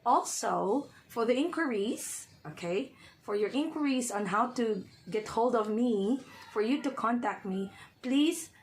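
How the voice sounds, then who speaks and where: A woman tutor with a hoarse voice, talking casually to her viewers and often checking in with "okay?". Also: a little high, animated, unhurried, low-quality recording. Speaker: reading tutor. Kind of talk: casual vlog talk to viewers.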